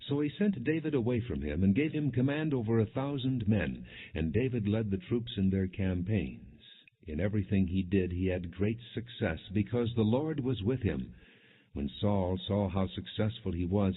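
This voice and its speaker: A man narrating scripture as a scripted audiobook in a measured, solemn storytelling manner. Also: lowish voice, animated, husky. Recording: muffled, phone-like narrow band.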